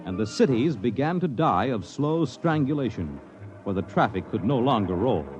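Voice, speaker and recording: A man's voice: medium pitch, big pitch swings, moderate pace, darkish tone. Dramatic, wide-ranging vintage radio announcer, male. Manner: dramatic, portentous. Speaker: announcer and narrator. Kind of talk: 1950s radio scripted narration. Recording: muffled vintage radio recording. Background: music.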